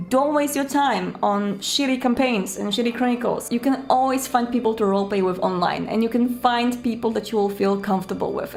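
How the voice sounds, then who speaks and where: A woman host giving chatty, conversational advice to viewers in a casual style. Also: a little high. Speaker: host of a roleplaying advice video.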